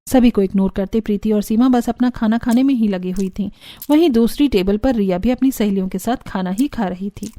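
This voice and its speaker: Mellow woman narrating a story. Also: medium pitch, fairly quick, no room sound, studio quality.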